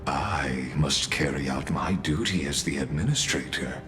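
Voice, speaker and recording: Very deep, pompous theatrical villain. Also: male voice. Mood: mock regret, menacing. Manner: pompous, deliberate, formal and mocking. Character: theatrical, coldly polite male villain, a scheming administrator. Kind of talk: dramatic video game voice acting. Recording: music behind the voice. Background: music.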